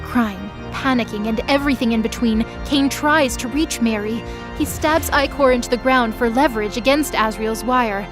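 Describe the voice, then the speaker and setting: A woman's voice: a little high, normal speed, over music. A woman giving dramatic scripted narration as the narrator of an audio drama.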